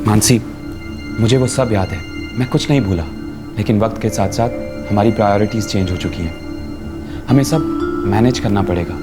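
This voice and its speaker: An actor playing a loving, placating young husband in a TV crime drama, reasoning and sprinkling in English words. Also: male voice, on the low side, normal speed, pauses often, lightly acted. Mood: tender, earnest. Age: young adult. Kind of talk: Hindi TV crime serial acting. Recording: dramatic background music.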